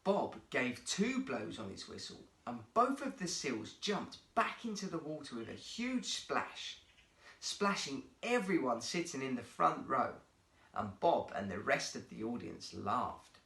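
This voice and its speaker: Male narrator, children's storybook style, slow measured pace, expressive lively intonation, echoey room. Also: mid-range voice, vocal fry. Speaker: guest storyteller, actor.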